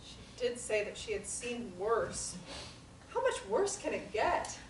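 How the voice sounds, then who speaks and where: A woman's voice: a little high, big pitch swings, a little slow. A woman reading the part of a hesitant, questioning newcomer to group therapy in a play. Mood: uneasy, doubtful. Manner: tentative, questioning. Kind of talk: dramatic table reading of a play. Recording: echoey room, distant mic, noise.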